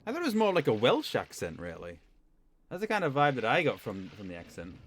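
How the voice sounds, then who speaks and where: A laid-back man chatting while he streams a game, his voice mid-pitched but lively in its rise and fall. His remarks come across wry and offhand. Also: fairly quick, slight background noise. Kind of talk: casual game stream commentary.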